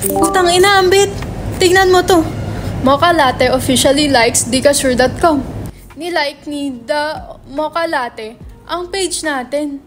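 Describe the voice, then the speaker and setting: A woman's voice: high voice, unhurried, faint music behind. A woman playing a brash, foul-mouthed young male writer in a dramatic script reading, slangy and insistent. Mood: excited.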